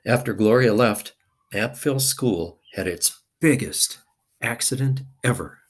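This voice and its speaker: A man's voice: medium pitch, measured pace. A man reading a children's picture book aloud expressively, with dramatic emphasis.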